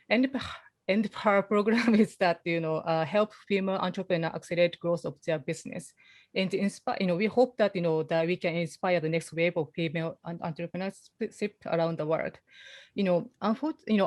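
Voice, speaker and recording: A woman with a strong Japanese accent moderating an online panel, talking in a halting way with many "you know" fillers. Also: fairly low pitch, darkish tone, dry recording. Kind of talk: webinar moderating.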